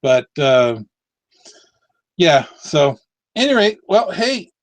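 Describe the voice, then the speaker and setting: A man's voice: a little high, sing-song, slow pace. A man in his late forties hosting a casual live video chat, rambling and correcting himself. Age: 40s. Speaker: live stream host.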